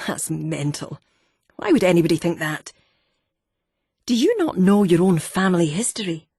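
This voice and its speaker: Woman putting on a gravelly wheezing Fife man. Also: lowish voice, sing-song, moderate pace, mellow, dry recording, clean studio sound, exaggerated voice. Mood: gruff. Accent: Fife Scottish. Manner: blunt, irritated, mocking. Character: gruff wheezy older Fife ex-miner man.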